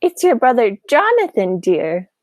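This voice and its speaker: Genteel, fluttering old lady, acted by a young woman: amateur radio play, very animated pitch, dry recording, gently insistent. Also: measured pace, no room sound. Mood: gently firm. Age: young adult.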